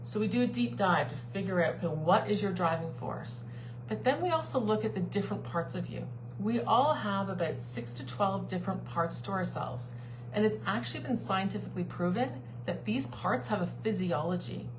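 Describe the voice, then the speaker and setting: Woman, life coach, mid-pitched, earnest and persuasive, live stream with a steady hum behind her. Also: moderate pace. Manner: earnest, persuasive, repeats key phrases. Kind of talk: live-streamed talk to a online group.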